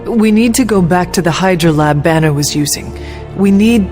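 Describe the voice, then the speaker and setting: A woman's voice: fairly low pitch, normal speed, over music, natural voice. A woman playing an earnest, determined young warrior leader who speaks formally and firmly in a video game. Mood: pleading. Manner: formal, avoids contractions. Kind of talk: video game cutscene acting.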